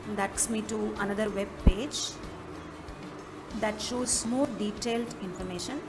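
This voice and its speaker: A woman presents a software walkthrough in an instructional manner, with halting phrasing. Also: on the high side. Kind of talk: step-by-step app walkthrough narration. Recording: music behind the voice. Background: clearly audible music.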